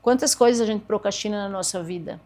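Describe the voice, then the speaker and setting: A woman's voice: mid-range voice, fast talker, a little mellow. A woman in her forties, a podcast guest earnestly telling her own story and acting out past conversations. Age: 40s. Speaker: podcast guest, therapist and speaker.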